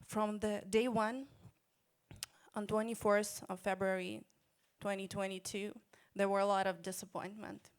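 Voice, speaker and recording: A woman from Ukraine, an English speaker and government minister, answering on a panel in a measured, earnest way with hesitant uhs. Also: mid-range voice, flat delivery, smooth.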